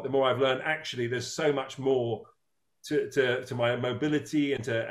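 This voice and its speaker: 50 yr old guy hosting, rambly, keeps restarting, pokes fun at himself. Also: medium pitch. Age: 50s. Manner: rambling, self-deprecating, many restarts. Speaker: interviewer. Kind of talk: casual interview chat.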